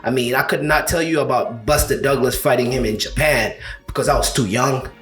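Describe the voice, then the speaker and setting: A man's voice: mid-range voice, a little fast, a bit bright, faint music behind. Rambling man in an echoey room. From Uganda. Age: 30s. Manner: rambling storyteller, full of "you know" and restarts. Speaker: co-host of a combat sports show. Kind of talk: casual podcast chat.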